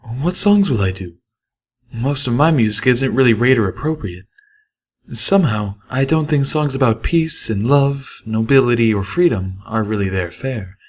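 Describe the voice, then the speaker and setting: A man's voice: mid-range voice, animated, moderate pace, husky, voice pushed up. A man voicing a refined, fretful female pony singer in an audiobook, with a fussy, musing delivery.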